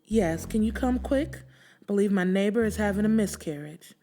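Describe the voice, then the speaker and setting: A woman's voice: mid-range voice, normal speed, warm tone, a little hiss or hum, lightly acted. A woman voicing a concerned woman neighbor in an audiobook, urgent, caring and steadying. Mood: urgent reassurance.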